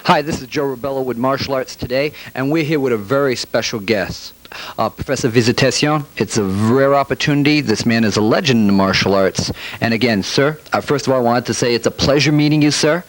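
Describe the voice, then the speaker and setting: A man's voice: mid-range voice, brisk, no echo, poor audio. A man hosting a martial arts TV interview, asking questions in a polite, deferential way and often repeating himself. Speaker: TV show host.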